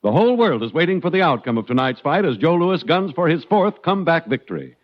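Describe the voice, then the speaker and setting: Animated higher-voiced male 1950s radio announcer. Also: quick pace. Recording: muffled 1950s radio broadcast recording.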